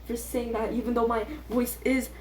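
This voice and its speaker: Teen female vlogger, soft-edged relaxed tone, self-deprecating off-the-cuff chatter, recorded in a reverberant room. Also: a little high, moderate pace. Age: teenager. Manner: self-deprecating, filler-heavy youthful talk. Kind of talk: casual rambling chat. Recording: echoey room with faint background noise.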